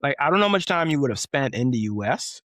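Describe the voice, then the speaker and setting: Rambling male co-host, mid-pitched. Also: sing-song, fast talker, a bit bright, dry recording, clean studio sound. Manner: rambling questions full of "like" fillers. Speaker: podcast co-host. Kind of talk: casual podcast interview.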